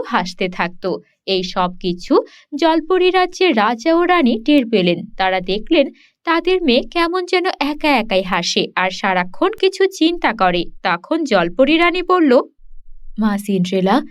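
A woman narrating a scripted children's cartoon story. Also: high voice.

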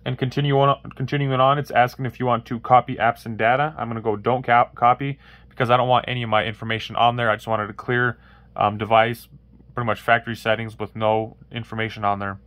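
A man's voice: mid-range voice, level tone, fast talker, no echo, lo-fi sound. A man hosting a casual phone tutorial, rambling through steps with many fillers and restarts while showing a phone on a table. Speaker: tech tutorial host. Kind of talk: casual how-to walkthrough. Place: indoors at a wooden table, voice-over of hands-only phone demo.

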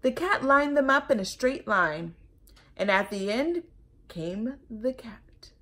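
A woman's voice: a little high, animated, takes it slowly, bright, forceful tone. A young woman reading a children's story aloud in a careful, teacherly way, with asides to young listeners. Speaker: storytime reader. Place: indoor room at home.

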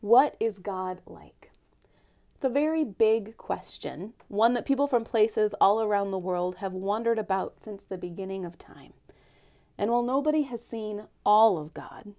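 A young woman giving a gentle Lent reflection at home and reading a picture book aloud, speaking softly and often near a whisper into a lapel mic. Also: mid-range voice, creaky. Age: young adult. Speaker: church speaker. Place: home living room, indoors.

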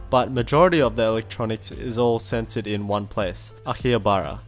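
A young man with an Australian accent, narrating an easygoing voice-over of a shopping trip. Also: medium pitch. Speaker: vlogger.

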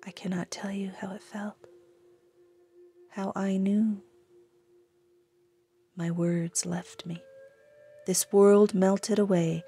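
A woman recites contemplative poetry very slowly in a soft, mellow voice. Her delivery is breathy and often sinks toward a whisper, with an even, restrained melody. Faint music sits behind her. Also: mid-range voice. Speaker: poet reciting own original poem.

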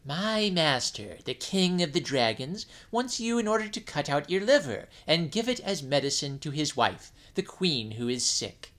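A man voicing a jellyfish servant who coaxes in a slow, persuasive way. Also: a little high, sing-song, fairly bright tone, voice pushed up. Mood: matter-of-fact. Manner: coaxing persuasive. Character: smooth-talking male jellyfish servant.

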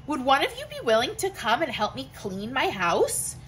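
A woman doing a gentle, polite hermit crab. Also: high-pitched, wildly swooping pitch, normal speed, heavily acted. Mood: earnest. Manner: soft, earnest, asking kindly.

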